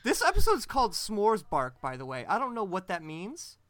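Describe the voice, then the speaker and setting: A man's voice: high voice, huge pitch swings, normal speed, a bit bright, no echo. A man co-hosting a podcast, chatting casually and mocking what he reads out, laughing a lot. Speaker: podcast co-host. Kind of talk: casual chat.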